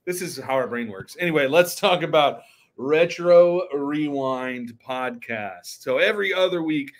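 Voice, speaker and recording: A man's voice: fairly high pitch, animated, unhurried. A man co-hosting a podcast in a casual, bantering style. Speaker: podcast co-host.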